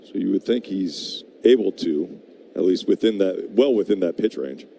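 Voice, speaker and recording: An American male color commentator with a lowish, warm, mellow voice. He talks baseball in a chatty, informal way with plenty of emphasis, over faint ambient noise. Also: moderate pace, creaky. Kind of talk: conversational live sports analysis and banter.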